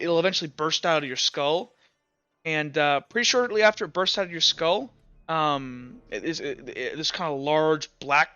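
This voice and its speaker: A man's voice: a little high, pushed and bright, smooth, no room sound, dull audio. A man acting as game master, improvising tabletop roleplay narration in a casual, loose way, searching for words.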